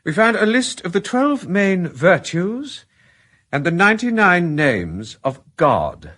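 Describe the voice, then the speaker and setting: A man playing a composed aristocratic lord in a radio drama, speaking formally and deliberately. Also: high voice, very animated, a bit bright, heavily acted.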